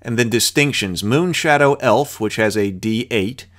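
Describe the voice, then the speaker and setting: A man hosting his channel, reading a tabletop game's rules aloud and thinking out loud about them in asides. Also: medium pitch, measured pace. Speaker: channel host. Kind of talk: reading and explaining game rules.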